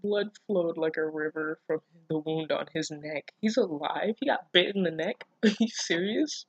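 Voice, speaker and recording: A woman's voice: low-pitched, moderate pace, no room sound, highs cut off. A woman reading fan fiction aloud and reacting casually, with sarcastic, incredulous, foul-mouthed interjections.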